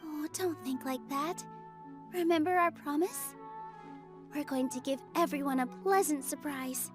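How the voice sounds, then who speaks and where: A woman voicing a sweet, gentle young performer in a video game, earnest and reassuring. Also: sits high, measured pace. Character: sweet, encouraging, gentle young female theater dancer. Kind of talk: animated video game dialogue. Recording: music playing behind the voice.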